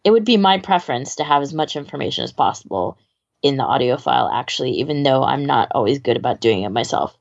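A woman's voice: a bass voice, expressive, fast talker, gravelly. A woman co-hosting a podcast in a casual, conversational way, on slightly lo-fi audio. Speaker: podcast co-host. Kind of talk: casual chat.

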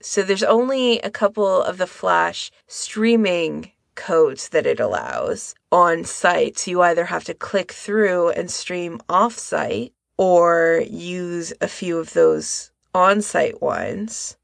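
A woman co-hosting a podcast in a casual discussion, with a creaky voice. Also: lowish voice, big pitch swings, takes it slowly, few pauses, dry recording, studio quality. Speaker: podcast co-host.

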